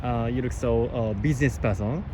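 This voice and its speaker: A Japanese man with a Japanese accent running street interviews in simple, halting English, with many "uh" fillers. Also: mid-range voice. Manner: hesitant, many "uh" fillers, quick laughs. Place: city street outdoors. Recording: noisy street with traffic.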